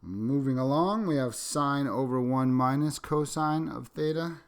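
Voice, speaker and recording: A man's voice: medium pitch, a little slow. A man teaching a calculus lesson, sick and sniffling, talking through each step as he writes and correcting himself along the way. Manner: talks through steps while writing, self-correcting asides. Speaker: math teacher. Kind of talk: recorded calculus lecture. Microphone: microphone.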